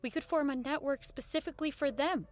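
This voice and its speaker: A woman's voice: high voice, brisk, soft background music, close to an everyday voice. A woman acting in a radio drama as an earnest, idealistic broadcaster, restrained and a little hesitant. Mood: hopeful, fervent.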